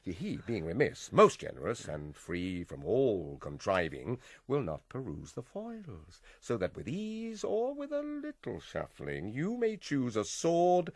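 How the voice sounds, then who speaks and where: A man's voice: medium pitch, wildly swooping pitch, dry recording, full character voice. Scheming, manipulative middle-aged king: hugely varied intonation, close dry sound, theatrical Shakespearean radio drama. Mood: conspiratorial. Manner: theatrical, deliberate, persuasive delivery with dramatic swells.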